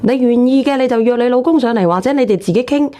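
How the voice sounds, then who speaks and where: A woman's voice: a little high, fast, warm tone, a little hiss or hum. A woman with a Hong Kong Cantonese accent hosting an advice phone-in, talking bluntly and telling people what to do. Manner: blunt, directive. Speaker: host of a phone-in advice show. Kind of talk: unscripted relationship advice chat.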